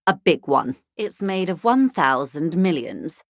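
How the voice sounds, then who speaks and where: A shrewd genteel company negotiator lady for a video game, lowish voice, polished n dryly understated, super dry close sound. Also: very animated, unhurried, no room sound, exaggerated voice. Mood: measured, earnest. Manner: refined, articulate, diplomatic, dryly understated. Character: poised, shrewd corporate negotiator woman, genteel and dryly amused. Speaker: video game character voice actor. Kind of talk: video game dialogue, measured conversational delivery.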